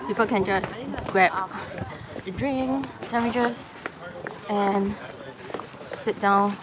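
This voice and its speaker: A chatty woman talks to her viewers at an ordinary middle pitch, laughing easily as she goes. She is recorded on a phone outdoors by a windy harbour, with loud wind and street noise all around. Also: very slow. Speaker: vlogger. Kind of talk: casual travel vlog narration.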